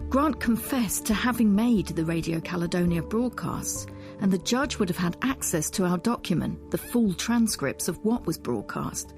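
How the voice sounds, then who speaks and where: A woman's voice: lowish voice, lively intonation, darkish tone. Woman narrating a documentary over music. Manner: measured, questioning, explanatory. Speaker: presenter, history professor.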